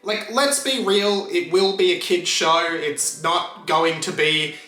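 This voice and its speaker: An Australian man gives casual, enthusiastic commentary as a YouTube host. His voice sits slightly high and has a crisp, bright edge. The room adds noticeable echo, and his delivery stays conversational. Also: takes it slowly. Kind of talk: casual pop culture commentary to camera. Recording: roomy echo, music underneath.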